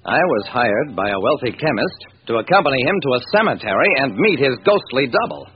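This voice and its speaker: Vintage radio detective lead, played by a man: wry, stubborn, animated narration, thin noisy sound. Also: mid-range voice, fairly quick, muffled recording, close to an everyday voice. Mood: wry narrating. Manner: wry, hard-boiled.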